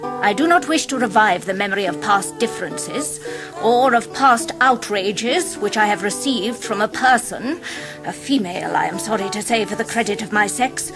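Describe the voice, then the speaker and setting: A woman's voice: medium pitch, moderate pace, over music, lightly acted. A woman playing a cold, disapproving middle-aged spinster in a radio drama, speaking in a stiff, formal way. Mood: stern disapproval. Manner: stiff, formal, icily clipped. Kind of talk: 1990s radio drama acting.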